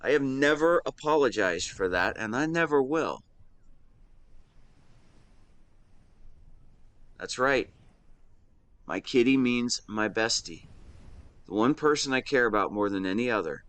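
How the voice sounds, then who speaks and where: A man's voice: mid-range voice, lively intonation, normal speed, fairly bright tone, clean tone, dry recording, close to an everyday voice. A man in his twenties playing a devoted, protective and secretly lovesick best friend in a conversational audio roleplay, earnest and playful with some hesitation. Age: 20s. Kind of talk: intimate one-sided roleplay acting, conversational.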